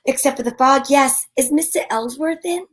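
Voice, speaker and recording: Actress as sassy female private eye, high-pitched voice, wry slangy narration, self-assured, old-time radio mystery. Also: normal speed, close to an everyday voice. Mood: sardonic. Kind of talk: amateur old-time radio detective drama with first-person narration.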